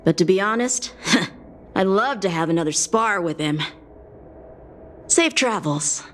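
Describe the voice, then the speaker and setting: Animated young warrior girl. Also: medium pitch, takes it slowly, slight background noise. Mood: earnest, humbled. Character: earnest, feisty young female warrior. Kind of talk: video game voice acting.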